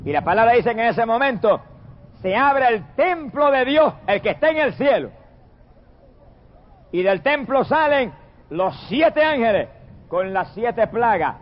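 Man, preacher, high voice, emphatic, repetitive, muffled lo-fi sound. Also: big pitch swings. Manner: emphatic, repetitive, drawn-out exclamations. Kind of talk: fervent Pentecostal-style sermon. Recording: dull lo-fi sound.

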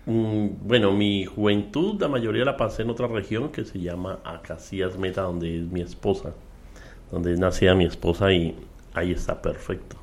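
Middle-aged Colombian man, very low voice, measured storyteller, guest minister being interviewed. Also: darkish tone. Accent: Colombian Spanish. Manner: measured storytelling with frequent fillers. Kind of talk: relaxed testimony in conversation.

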